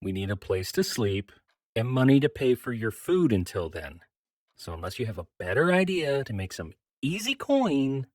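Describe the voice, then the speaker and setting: A man plays an earnest metal adventurer in a fantasy audio drama. He speaks in a middle pitch with a measured, polite, practical manner, recorded very dry and close. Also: very animated, dry recording.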